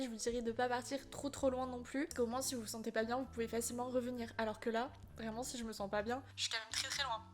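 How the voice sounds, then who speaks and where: A woman in her twenties vlogging to camera in a casual, chatty and confiding way, upbeat as she talks to her viewers. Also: fairly high pitch. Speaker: travel vlogger. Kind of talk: casual vlog talk to camera.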